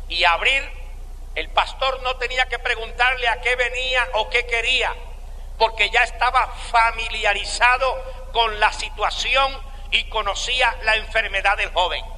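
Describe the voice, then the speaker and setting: A man with a Cuban accent giving a slow, deliberate sermon testimony with dramatic pauses. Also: extremely high pitch, fairly even intonation, pushed and bright, long reverb tail, rough recording. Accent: Cuban Spanish. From Cuba.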